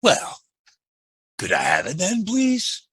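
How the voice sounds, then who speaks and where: A man's voice: sits high, wildly swooping pitch, slow, a bit bright, husky, no room sound, studio quality, full character voice. A man playing a meek, folksy assistant to an Old West marshal in a radio western, with a hesitant, stammering, deferential delivery. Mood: polite. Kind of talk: old-time western radio drama acting.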